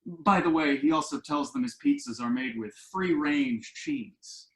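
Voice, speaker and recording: A man's voice: fairly high pitch, very animated, measured pace, creaky, a bit bright, full character voice. A man acting a self-assured, glib son who earnestly explains his schemes in a table-read play, recorded in an echoing room. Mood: smug, persuasive. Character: glib, enterprising grown son pitching shady business schemes. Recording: roomy, echoing home recording.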